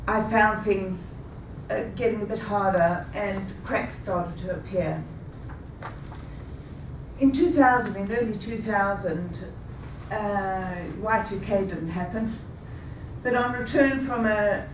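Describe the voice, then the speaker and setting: A woman in her fifties telling a rehearsed personal story with a measured, deliberate delivery. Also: medium pitch, background noise. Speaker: speaker. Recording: echoey room, taken from a distance.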